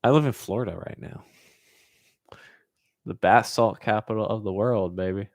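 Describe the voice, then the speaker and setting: A man's voice: on the low side, moderate pace, vocal fry, dry recording, studio quality. An American man from California hosting a casual Q&A, talking informally and rambling as he thinks aloud. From California, United States. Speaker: host answering viewer questions. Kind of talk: casual Q&A chat.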